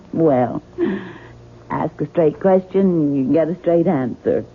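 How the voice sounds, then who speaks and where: An actress playing an earnest, anxious young American wife in a radio drama, direct and probing in manner. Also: female voice, low-pitched, slow pace. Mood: tentative, probing. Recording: muffled, noisy 1970s radio broadcast.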